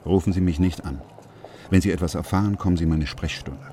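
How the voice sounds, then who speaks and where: An actor playing a secretive, worried brain surgeon father who speaks in an urgent, hushed, pleading way. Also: low-pitched, fast, a little hiss or hum, lightly acted.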